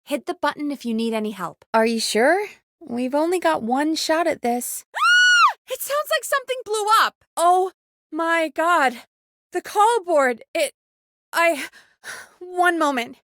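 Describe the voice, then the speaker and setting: A game character, earnest wry radio producer lady, high voice, really lively pitch. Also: no echo, studio quality, full character voice. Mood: alarmed, urgent. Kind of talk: video game voice acting.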